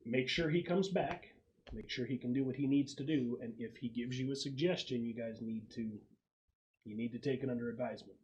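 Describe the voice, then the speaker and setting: A man's voice: medium pitch, fairly quick, darkish tone, dull audio, natural voice. A man playing a plain-spoken military superior briefing a squad of marines in a tabletop game. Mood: firm briefing.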